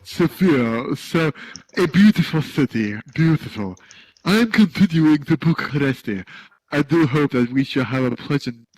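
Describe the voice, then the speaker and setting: A man voicing a fat, weepy, saccharine foreign traveller with a thick, slightly guttural voice and a sugary, slow, self-pitying way of talking. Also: mid-range voice, animated, low-quality recording. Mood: sugary polite.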